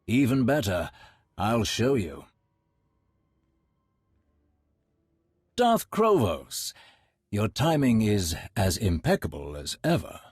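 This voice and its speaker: An actor playing a suave, courteous, scheming imperial agent in a video game, speaking in a smooth-mannered, formal, theatrical way. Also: male voice, on the low side, wildly swooping pitch, a little slow, darkish tone, no room sound, clean studio sound, full character voice. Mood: sly confidence.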